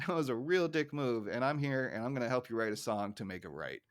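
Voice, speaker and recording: Male podcast host, 40s, American accent, relaxed recap conversation, mid-range voice. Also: medium pitch, brisk. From Southern California, United States. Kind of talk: casual episode recap chat.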